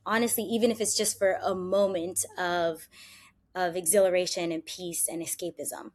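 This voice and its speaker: Woman, lower-pitched voice, panel commentator, emphatic, articulate, explanatory. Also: steady tone, normal speed. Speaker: guest commentator on music history.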